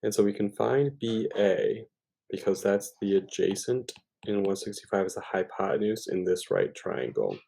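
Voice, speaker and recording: Low, gravelly male math tutor. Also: lively intonation, moderate pace, warm tone, low-quality recording. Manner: informal, thinking aloud with hesitations and self-corrections. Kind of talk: step-by-step problem walkthrough.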